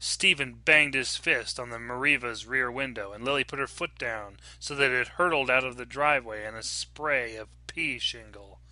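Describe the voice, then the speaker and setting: A man's voice: medium pitch, normal speed, few pauses, pushed and bright, dry recording. A man reading scripted fiction aloud as a dramatic narrator. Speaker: story reader and narrator.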